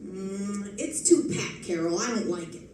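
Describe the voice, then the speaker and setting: An actor playing a slow-witted, doubting gangster's henchman in an old-time radio drama, with blunt, slangy talk. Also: high voice, lively intonation, darkish tone. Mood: doubtful. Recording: echoey live stage, distant mic, faint noise.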